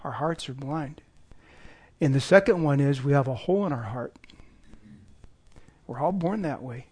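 An older man with a hoarse voice, as he is losing it, giving an informal sermon after an opening prayer, rambling and self-deprecating, with many fillers and restarts. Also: medium pitch, normal speed, a little mellow. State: losing his voice. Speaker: lay preacher.

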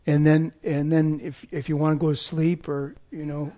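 An older man preaching in a church with a slightly high, hoarse voice. He talks in a rambling, self-deprecating way, full of fillers and restarts, and wears a clip-on mic. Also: little pitch movement, normal speed, warm tone. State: losing his voice. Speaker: lay preacher. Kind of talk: informal sermon with opening prayer.

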